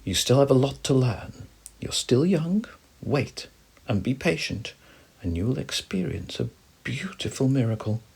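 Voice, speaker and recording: A man's voice: medium pitch, very animated, unhurried, gravelly, husky, pitched above the performer's own voice, exaggerated voice. A man voicing a wise, kindly sun who patiently mentors a young star in a bedtime story. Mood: reassuring. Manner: reassuring, gentle.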